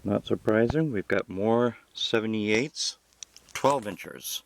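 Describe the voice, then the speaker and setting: A man with a fairly low voice narrates a casual home tour. He presents as a collector showing things off, dropping wry, self-deprecating remarks as he goes. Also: takes it slowly, gravelly, rough recording. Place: indoors at home.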